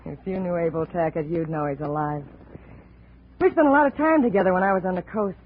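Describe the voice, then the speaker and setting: A woman's voice: lowish voice, fairly quick, close to an everyday voice. A muffled old radio recording with faint noise carries a woman acting as an obsessive, lovelorn woman of the tropics. She delivers her lines with insistent, defiant drama in the manner of vintage radio plays. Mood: yearning.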